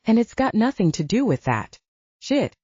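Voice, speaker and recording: A woman's voice: fairly high pitch, sing-song, normal speed, mellow, slightly rough, no echo, lo-fi sound, voice pushed up. A woman voicing a jaded young male mercenary who talks bluntly and swears offhandedly.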